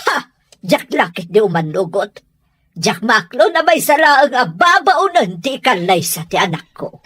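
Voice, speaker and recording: A woman's voice: on the high side, sing-song, measured pace, fairly bright tone, husky, no room sound, full character voice. A woman playing a haughty, anxious middle-aged mother who scorns servants, in an Ilocano radio drama. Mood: worried, indignant.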